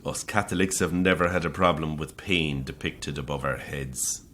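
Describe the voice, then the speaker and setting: Deep-voiced, menacing Irish priest. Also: male voice, a bit bright, slight background noise. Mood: bitterly amused. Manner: deliberate, sardonic, conversational confession. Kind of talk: audio horror drama acting.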